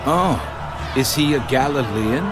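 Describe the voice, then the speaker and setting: A man's voice: mid-range voice, expressive, a little slow, close to an everyday voice. An actor playing an authoritative, exasperated Roman governor in a dramatized scripture, declaiming his judgments in a commanding way. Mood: questioning. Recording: loud music and crowd noise behind.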